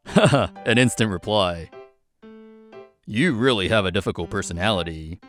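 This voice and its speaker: A man's voice: lowish voice, expressive, normal speed, fairly bright tone, soft background music. A man playing an affectionate, easygoing brother in a manga drama, teasing and supportive. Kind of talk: scripted manga drama dialogue.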